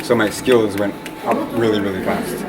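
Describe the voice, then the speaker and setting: A young man from Eastern Canada chatting casually in an interview, relaxed and rambling with lots of fillers. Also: lowish voice, moderate pace. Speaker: interview guest. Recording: loud crowd noise behind.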